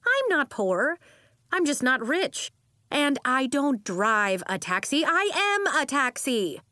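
A woman's voice: mid-range voice, sing-song, a little slow, fairly bright tone, dry recording, studio quality. A woman voicing a brash, outspoken, vibrant aunt in a story, with a blunt, breezy, exclamatory way of talking.

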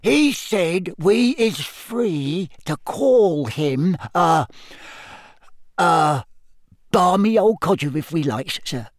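A man's voice: sits high, expressive, slow pace, no room sound, clean studio sound, voice pushed up, full character voice. A man voicing a small male house-elf for an audiobook, eager, loyal and nervous, speaking in servile, broken grammar. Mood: nervous, hesitant. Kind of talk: audiobook character acting.

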